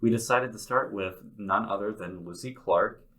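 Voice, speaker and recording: Guy interviewer, lowish voice, casual and conversational. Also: moderate pace, a bit bright.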